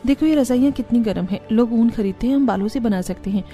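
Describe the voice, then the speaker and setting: Woman voicing a weary village wife, soft dark tone. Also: a little high, fast, soft background music, close to an everyday voice. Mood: hopeful, persuasive. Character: weary, resourceful young village wife and mother. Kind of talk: Hindi cartoon story dialogue.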